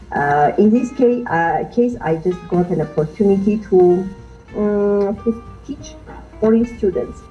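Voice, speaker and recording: A woman in her thirties talks in an Armenian accent, her voice low-set and mellow. She halts and restarts as she searches for words. The sound is a bit muffled, with faint music underneath. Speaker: guest teacher. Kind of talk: podcast interview.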